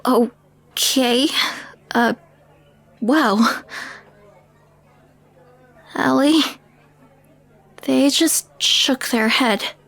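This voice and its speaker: Woman doing a skeptical alien roommate gal, kinda high voice, takes her time, questions everything, audio roleplay. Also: vocal fry, fairly bright tone, exaggerated voice. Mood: stunned. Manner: incredulous, questioning, measured. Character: skeptical, easily shocked female alien roommate and friend. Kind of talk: scripted audio drama acting.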